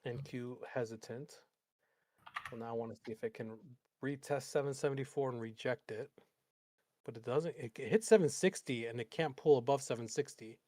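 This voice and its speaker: A man's voice: mid-range voice, moderate pace, mellow, no room sound. A man hosting a live trading stream, talking through charts and chatting with an easy laugh. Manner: chatty, laughs easily, thinks aloud. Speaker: host trader.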